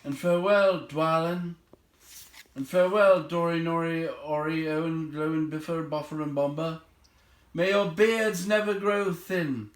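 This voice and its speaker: A small, polite hobbit gentleman played by a man reading aloud, humble and easily flustered, with a hesitant storybook delivery. The pitch sits a little above the middle, and the room adds a clear echo. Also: steady tone, unhurried, natural voice. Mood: fond farewell. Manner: hesitant, stammering. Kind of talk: storybook character voice.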